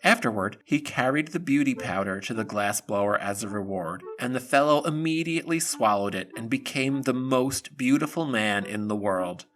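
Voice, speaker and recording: A man's voice: mid-range voice, expressive, moderate pace, hardly any pauses, background noise. A man narrating a fairy tale audio drama as a scripted storyteller.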